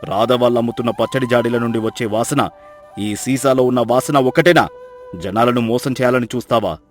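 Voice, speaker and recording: A man's voice: mid-range voice, normal speed, faint music behind, close to an everyday voice. A man acting a stern, fair village landlord in a moral story, speaking with grave authority. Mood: angry, commanding. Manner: grave, commanding.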